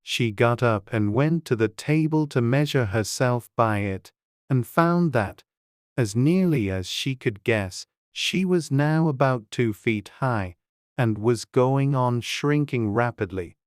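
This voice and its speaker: A woman narrating a storybook as an audiobook, with a measured storytelling delivery. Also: rumbling low, animated, no echo, clean studio sound.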